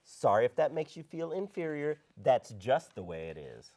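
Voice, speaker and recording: Man acting a cocky rock star, wildly animated. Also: mid-range voice, normal speed. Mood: boastful, cocky. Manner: cocky, swaggering, slangy. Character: vain, self-absorbed male rock star, boastful and prickly. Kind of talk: script table read acting.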